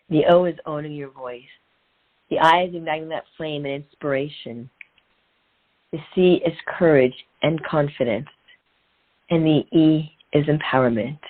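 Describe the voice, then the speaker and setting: A middle-aged woman speaking as an interview guest and coach in an earnest, inspirational way, repeating phrases for emphasis. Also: low-pitched. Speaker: guest, transformational and women's empowerment coach. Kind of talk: conversational interview, motivational storytelling. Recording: narrow phone-like sound.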